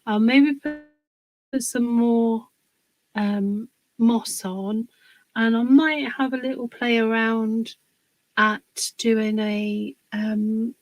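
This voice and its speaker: Woman, bit hoarse, rambling chat, slow unhurried talk, dry close sound. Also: a little high, steady tone, no echo, poor audio. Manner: chatty, rambling, pauses with fillers while working. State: slightly hoarse from a cold. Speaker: crafter hosting a livestream. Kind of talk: casual livestream chat while crafting.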